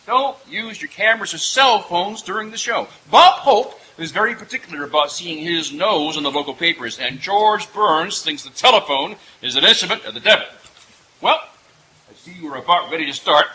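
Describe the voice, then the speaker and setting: A man's voice: high-pitched, bright, forceful tone, low-quality recording. A middle-aged man hosting, addressing an audience in old-time radio announcer patter. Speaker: stage host warming up audience. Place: outdoor stage in woods. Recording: live outdoor stage, faint noise.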